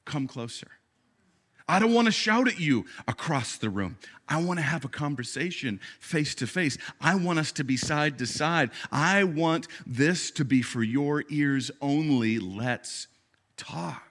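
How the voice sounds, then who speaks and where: A pastor softly voicing God as a gentle, inviting male presence. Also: fairly high pitch, expressive, measured pace. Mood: tender. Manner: soft, hushed, inviting.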